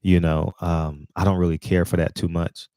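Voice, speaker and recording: A man's voice: sits low, little pitch movement, normal speed, warm tone, no room sound, clean studio sound. A man in his forties giving a casual, rambling commentary monologue as host of his own channel, full of fillers and restarts. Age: 40s.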